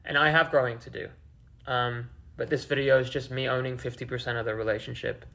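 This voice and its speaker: A man's voice: mid-range voice, level tone, fairly quick, vocal fry, sharp tone. A man in his twenties talking candidly and with some swearing in a personal confessional vlog. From several countries including the Philippines, Australia and the UK. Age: 20s. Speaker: online video creator.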